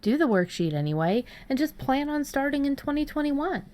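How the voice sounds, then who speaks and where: A woman's voice: sits high, sing-song, brisk, a little mellow. A woman co-hosting a podcast in casual conversation, speaking informally and offering encouragement. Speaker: podcast co-host. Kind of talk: casual supportive chat.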